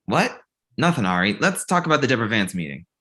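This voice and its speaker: A man's voice: mid-range voice, sing-song, fast talker, bright, forceful tone. A man reading the part of a mild, conciliatory young man, polite and placating, at a comedy table read.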